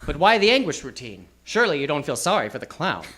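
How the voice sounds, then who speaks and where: A man's voice: a little high, fast talker. A man playing a wry, hardboiled library detective, narrating and acting in a live radio noir spoof. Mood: wry, reflective. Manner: wry, deadpan hardboiled storytelling. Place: theater stage.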